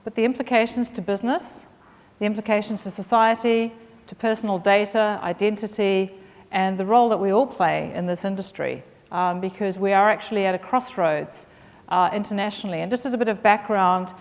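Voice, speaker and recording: A woman's voice: medium pitch, steady tone, a little fast. Woman, middle-aged, mixed British-Australian accent, keynote speech, frequent ums, long hall reverb. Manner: fluent with frequent ums and uhs. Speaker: keynote speaker. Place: conference hall.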